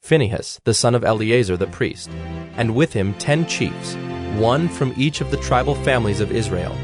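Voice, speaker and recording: A man giving a measured, formal narration of scripture as the narrator of a dramatized audio production. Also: medium pitch, expressive. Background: instrumental music with plucked strings.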